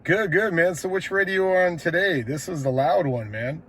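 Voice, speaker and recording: A man's voice: a little high, normal speed, a bit bright. An American man working as a CB radio operator, making station calls and chatting casually in a laid-back, slangy way. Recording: clear background radio noise.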